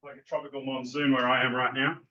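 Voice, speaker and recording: Relaxed rambling Australian man. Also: medium pitch, quick pace, dull audio. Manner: relaxed, rambling, with frequent ums and restarts. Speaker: guest. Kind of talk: casual interview talk.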